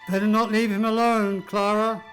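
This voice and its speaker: A woman's voice: mid-range voice, flat delivery, normal speed, soft background music, lightly acted. A woman playing a stern, authoritative senior angel who questions and admonishes in a radio play. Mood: stern, reproving. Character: stern heavenly superior angel, questioning and scolding.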